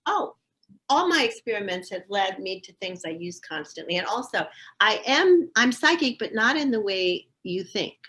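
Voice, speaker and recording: An older woman with a mid-pitched voice talks freely and at length. She is emphatic and sure of herself, and keeps the conversation moving in a relaxed interview. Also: normal speed. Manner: emphatic, talkative, self-assured. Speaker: astrologer guest.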